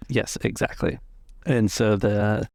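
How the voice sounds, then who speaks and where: A man narrating a tabletop role-playing game as game master, describing scenes haltingly in the present tense. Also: fairly low pitch, fairly even intonation, vocal fry, darkish tone, no room sound, clean studio sound.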